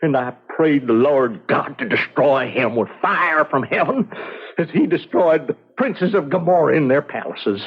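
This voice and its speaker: An actor playing a fervent, righteous frontier preacher who declaims in archaic biblical phrases, in an old-time radio drama style. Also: male voice, fairly high pitch, lively intonation, a little slow, muffled recording. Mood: righteous fervor. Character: fervent male frontier preacher quoting scripture, righteous and unrepentant.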